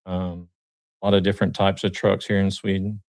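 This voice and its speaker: A man from the United States, a guest truck driver on a live stream, talking casually and hesitantly with many pauses. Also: low-pitched. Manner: relaxed, hesitant with many ums.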